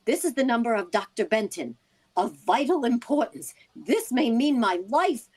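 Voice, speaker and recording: High, animated, concerned housewife played by a woman. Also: moderate pace, slightly rough. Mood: reading aloud, serious. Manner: earnest, inquisitive. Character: concerned, persistent housewife in a radio play. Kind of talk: vintage radio drama acting.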